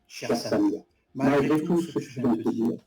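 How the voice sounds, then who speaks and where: Man, blunt critic, halting delivery; recorded far off in an echoey room with a loud crowd behind. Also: medium pitch. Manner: blunt, critical, hesitant restarts. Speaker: guest in a live debate. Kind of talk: live stream debate.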